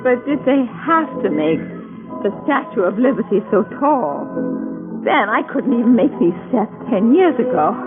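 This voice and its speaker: A woman's voice: a little high, big pitch swings, moderate pace. An actress playing a tired, wry American wife and mother in a mid-century radio drama. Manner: wry, weary. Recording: tinny band-limited old radio broadcast, music behind.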